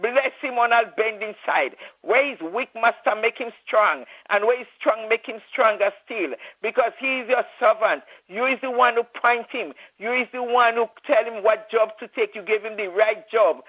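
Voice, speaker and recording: Man, high voice, fervent prayer, tinny radio sound. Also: normal speed, hardly any pauses, fairly bright tone. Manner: repeats "oh God, Father" between phrases. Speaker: prayer leader.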